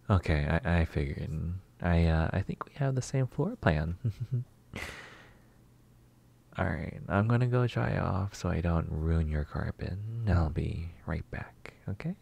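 Man playing a shy, husky, low-voiced male neighbor. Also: expressive, slow pace, pauses often, heavily acted. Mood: apologetic. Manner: hesitant, soft-spoken, apologetic, breathy sighs and whispers. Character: shy, polite, flustered male neighbor stranded by rain. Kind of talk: intimate roleplay ASMR acting.

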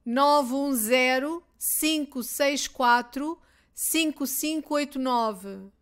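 A woman teacher with a European Portuguese accent, reading simple phrases twice each, clearly and deliberately, for learners. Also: high voice, fairly bright tone. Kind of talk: slow repeated phrase drills for learners.

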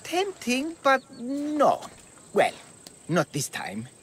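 A man's voice: extremely high pitch, wildly swooping pitch, very slow, a bit bright, a little hiss or hum, full character voice. An actor playing an eager, scheming male gambler in a video game, wheedling and hustling. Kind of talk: video game character acting.

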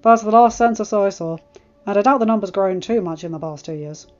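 A woman's voice: medium pitch, brisk, a little mellow, close to an everyday voice. A woman voicing a respectful, honest male guard captain in a story, matter-of-fact. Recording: muffled lo-fi recording, music behind.

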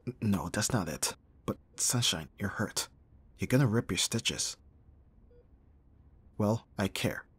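A man playing a gruff but tender young bodyguard in an intimate ASMR roleplay, speaking softly with sighs and a husky voice. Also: on the low side, expressive, slow, pauses often, no room sound, heavily acted. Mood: caring, reassuring. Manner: soft, intimate, sighing, teasing.

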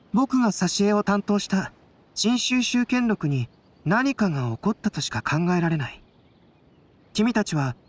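A man voicing a calm, analytical young scholar-artist in an anime game, speaking in a composed, polite way. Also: sits high, slightly rough, exaggerated voice.

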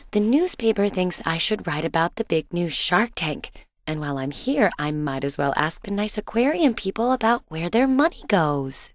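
Sweet, expressive suburban mom. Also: female voice, lowish voice, no echo, clean studio sound. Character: cheerful, doting suburban wife and mother, inquisitive journalist. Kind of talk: video game character voice acting.

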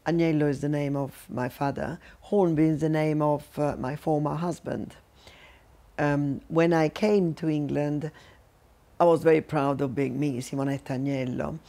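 An older woman from Sicily with an Italian accent, telling her life story in a measured, reflective way for a documentary interview in a living room. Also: low-pitched, dark tone. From Sicily, Italy. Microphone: lapel mic.

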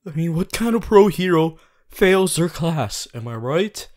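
A man playing an earnest, bossy, worried young student hero in an audio roleplay, talking to the listener in an insistent, halting, emphatic way. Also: fairly high pitch, animated, a little mellow. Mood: pleading, insistent. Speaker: voice actor.

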